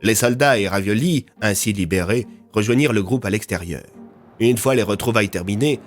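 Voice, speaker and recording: A man's voice: lowish voice, a little fast. A man narrating a scripted audio fiction as its storyteller.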